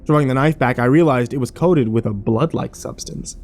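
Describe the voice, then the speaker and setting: A man's voice: mid-range voice, a little fast, a little mellow, slight background noise, lightly acted. A man playing a troubled, wary survivor who narrates his ordeal in a brooding first-person horror drama.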